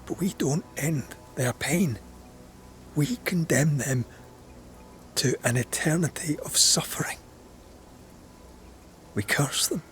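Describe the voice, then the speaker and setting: An actor playing a brooding, guilt-ridden banisher of ghosts in a video game, earnest and understated. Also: male voice, a little high, slow, pauses often, a bit bright, faint music behind. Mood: anguished doubt. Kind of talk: naturalistic game drama acting.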